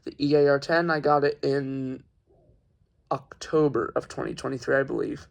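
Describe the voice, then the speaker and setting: A young man giving a casual, halting, informal product showcase as a hobbyist reviewer. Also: mid-range voice, steady tone, vocal fry. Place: carpeted room at home.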